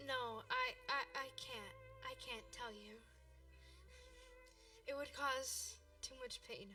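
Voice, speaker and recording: A woman as high, pitiful, martyred young boy. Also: sing-song, takes it slowly, vocal fry, bright, forceful tone, heavily acted. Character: pitiful, self-dramatizing suffering young boy. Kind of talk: radio play acting. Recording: distant microphone, music behind.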